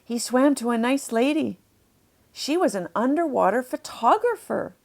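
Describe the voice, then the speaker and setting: A woman narrating a children's storybook, deliberately explaining things to young listeners as she reads. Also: a little high, expressive, gravelly, darkish tone.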